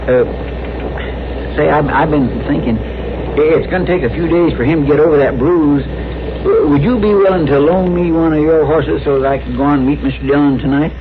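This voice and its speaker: Actor as drawling, hesitant Western sidekick, tinny old radio. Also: male voice, on the high side, normal speed, lightly acted. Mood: polite, folksy. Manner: drawling, hesitant, stammering, deferential. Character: folksy, polite, nervous cowboy sidekick to a frontier marshal. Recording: tinny, noisy vintage radio recording.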